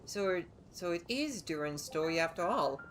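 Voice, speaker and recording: A woman's voice: on the low side, animated, normal speed. A woman livestreaming a game with casual, chatty, rambling commentary and reactions. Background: game music.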